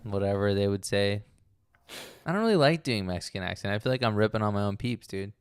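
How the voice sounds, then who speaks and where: A man's voice: on the low side, expressive, quick pace, smooth, dry recording. A man co-hosting a podcast, chatting casually in a laid-back way and calling his friend dude. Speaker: podcast co-host. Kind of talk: casual chat.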